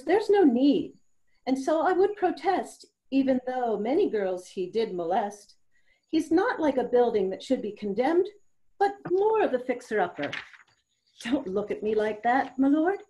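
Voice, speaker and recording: An imperious queen , played by a woman, delivers judgment in rhymed verse. The voice is fairly high yet very mellow. The manner is formal and authoritative, as in a staged play reading. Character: imperious queen, stern, commanding, passing judgment on a youth. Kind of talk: remote readers' theatre verse reading. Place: indoors at home on a video call.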